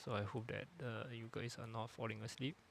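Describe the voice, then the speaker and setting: Commentator: a gravelly man with a steady, even delivery and faint venue hum behind him. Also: on the low side, brisk. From Singapore. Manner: steady, even-toned, with frequent uh fillers. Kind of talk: live sports commentary.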